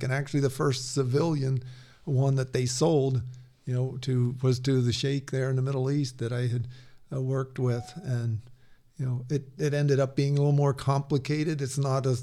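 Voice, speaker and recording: A man talking as a podcast guest, halting and restarting often, laughing easily. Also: mid-range voice, dark tone. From Peru. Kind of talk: casual conversation.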